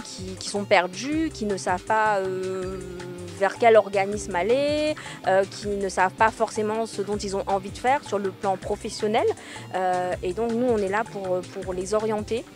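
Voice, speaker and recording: A woman on the staff of a training school gives a news interview in an open-air estate courtyard. She speaks at an ordinary pitch, pausing often and stumbling over her words, with faint music in the background. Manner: hesitant, many fillers and restarts. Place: outdoors in a housing estate courtyard.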